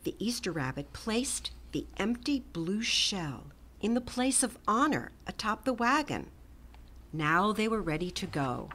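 A middle-aged woman reading a children's picture book aloud with gentle, expressive storytelling and soft whispered asides. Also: medium pitch. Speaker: storytime reader. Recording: music playing under the voice.

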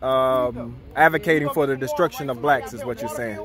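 A man's voice: mid-range voice, moderate pace. A man interviewing at an outdoor street protest, lecturing emphatically about scripture with rhetorical questions. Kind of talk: street debate, scripture preaching. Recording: noisy protest crowd behind.

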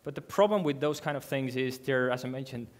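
Guy doing a conference talk in a big dark hall, earnest and kinda halting, lots of restarts. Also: medium pitch. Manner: earnest, halting, with restarts and non-native grammar. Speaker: conference speaker. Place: large dark hall with a seated audience.

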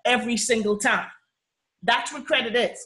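A woman's voice: on the high side, moderate pace, pushed and bright. Upbeat Bahamian woman hosting a webinar. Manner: chatty, upbeat, frequent "you know" and "okay?" check-ins. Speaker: personal finance webinar host. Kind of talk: live webinar presentation.

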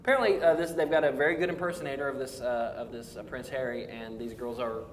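A middle-aged man hosts a casual geek chat with dry sarcasm. His voice is average in pitch, recorded in a roomy space with faint ambience. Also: fairly even intonation, fast talker. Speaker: geek talk show host. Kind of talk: casual entertainment news chat. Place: indoor room, panel around a table. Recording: roomy echo, faint background noise.

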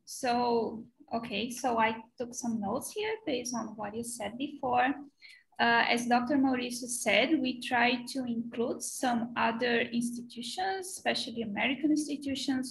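A woman's voice: fairly high pitch, creaky, poor audio. A young adult woman whose first language is Portuguese, answering examiners at her thesis defense over a video call with a headset. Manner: steady explanatory answers, frequent "so". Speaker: doctoral candidate. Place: small bright room indoors.

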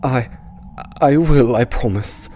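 A man's voice: medium pitch, slow pace, mellow, slight background noise, lightly acted. A man playing an earnest, emotional young prince in an amateur audio drama. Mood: grieving.

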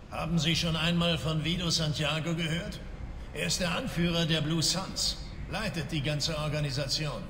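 An actor playing a hard, cynical middle-aged bounty hunter in a video game, blunt and callous. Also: male voice, fairly high pitch, sharp tone. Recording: echoey hall with faint noise.

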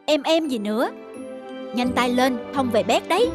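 Teasing young boy, high voice. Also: expressive, normal speed. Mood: caring, urging. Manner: casual, teasing. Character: sporty older brother, young boy, teasing but caring. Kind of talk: cartoon voice acting. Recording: music bed under the voice.

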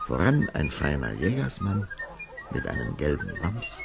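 A man's voice: very deep voice, wildly swooping pitch, a little slow, creaky, music in the background. A man narrating a fairy tale in a dramatic storytelling style for an audio play. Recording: noisy old audio-play recording.

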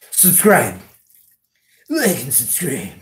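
A man chats casually while hosting a cooking livestream in a home kitchen. His delivery is off-the-cuff and jokey, with pitch that jumps around a lot. He is picked up by a camera on the counter, with some noise and clicking behind him. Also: wildly swooping pitch, drawn-out words, fairly bright tone. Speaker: cooking show host. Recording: clearly noisy home kitchen livestream audio.

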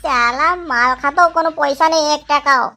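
A woman's voice: sits high, brisk, sharp tone. A woman voicing a hard-drinking, broke village father in a comedy cartoon, whining and defiant. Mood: whining, evasive.

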